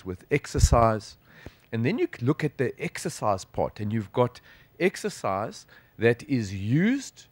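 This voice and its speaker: A man's voice: mid-range voice, dry recording. A middle-aged South African man, a sports physician, lecturing at a conference from a lectern with conversational, emphatic delivery. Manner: conversational, animated with gestures, emphatic pauses. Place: conference hall stage at a lectern. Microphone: lectern microphone.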